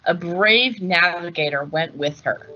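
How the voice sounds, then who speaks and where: A woman reading a picture book aloud as host, in a measured, deliberate storytelling delivery. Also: lowish voice, sharp tone, muffled recording, faint music behind. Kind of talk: scripted picture-book narration.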